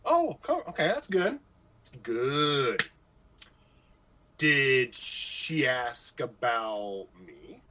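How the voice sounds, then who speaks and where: A man playing an excitable, jealous, insecure morning radio host in a radio comedy-drama, stammering, gushing and evasive. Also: medium pitch, drawn-out words, long pauses, background noise. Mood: flustered.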